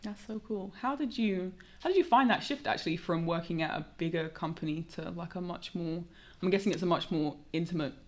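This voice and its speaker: A woman's voice: on the low side, a little fast, few pauses. A young woman hosting a podcast interview, upbeat and encouraging as she asks questions. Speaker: podcast host. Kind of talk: casual interview.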